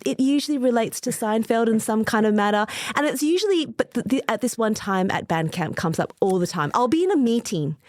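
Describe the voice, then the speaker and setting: A woman in her thirties hosts a podcast in bubbly, casual chat, laughing often. Her slightly high voice moves in lively swings. The sound is close and dry. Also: a little fast, few pauses, dry recording. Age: 30s. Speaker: podcast host.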